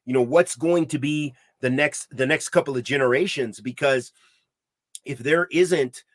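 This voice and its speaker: A man co-hosting a podcast, talking in an emphatic, preachy way with many restarts and Arabic religious terms, getting louder when heated. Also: mid-range voice, a little slow, no room sound, clean studio sound. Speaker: podcast co-host. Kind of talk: impassioned podcast discussion.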